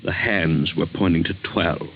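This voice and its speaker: An actor playing an anxious middle-aged father haunted by doom, halting and earnest, in 1940s radio drama style. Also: low voice, slight background noise. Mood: haunted, worried. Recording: dull vintage 1940s radio recording.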